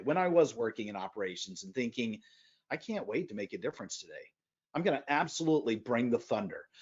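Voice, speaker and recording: A man speaking as a podcast guest in animated conversation, emphatic, with loud bursts and quieter asides. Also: on the high side, lo-fi sound.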